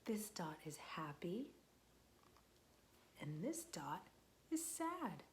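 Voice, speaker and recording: Slow, sing-song woman reading to kids. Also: fairly low pitch, very animated, slight background noise. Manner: gentle and patient, asks the listeners questions and pauses for answers. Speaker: children's librarian. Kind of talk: reading a picture book aloud to young children.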